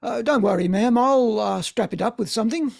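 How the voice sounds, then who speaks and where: A man's voice: high voice, fairly quick, gravelly, exaggerated voice. A man playing a meek, deferential chauffeur in a play reading, speaking hesitantly.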